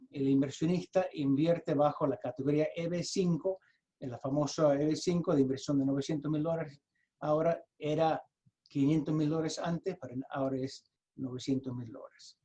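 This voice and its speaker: Halting American-accented man with a mellow voice. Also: a little high. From United States. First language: English. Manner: halting, with frequent restarts and repeated words. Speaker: lawyer presenting a webinar. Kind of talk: explanatory presentation over a video call. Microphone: video call.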